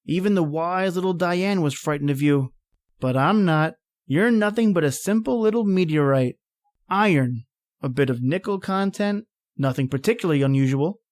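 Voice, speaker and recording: Woman playing an animated, impatient professor, low-pitched. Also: moderate pace, lightly acted. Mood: confident, condescending. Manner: exclamatory, dismissive, theatrical. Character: impatient, rational professor, incredulous at horror. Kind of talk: old-time radio horror acting.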